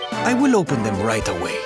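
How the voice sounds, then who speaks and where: Video game role: obliging Spanish servant, formal yet effusive, lively intonation, loud music behind. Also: male voice, medium pitch, normal speed. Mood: courteous, obliging. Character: courteous, deferential manservant of a nobleman.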